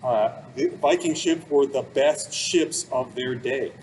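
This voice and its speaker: A man with a medium-pitched voice presents like a museum expert talking to children. His wording is casual and plain, with everyday comparisons. There is some noise behind him. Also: takes it slowly, a little mellow, poor audio. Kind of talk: informal explanatory talk for children.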